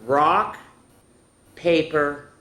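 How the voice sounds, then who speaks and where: A woman's voice: deep voice, fairly even intonation, drawn-out words, a bit bright. A senior woman hosting a children's story time, chatty and instructive, often putting questions to the kids. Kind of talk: children's storytelling and activity talk. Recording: echoey room with faint noise.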